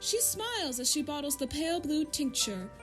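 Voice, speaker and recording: A woman's voice: high-pitched, sing-song, moderate pace, a bit bright, soft background music. A woman playing a prim, controlling fairytale narrator in a short film, fussy and polite, with an emphatic sing-song storyteller delivery. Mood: prim, cheerful.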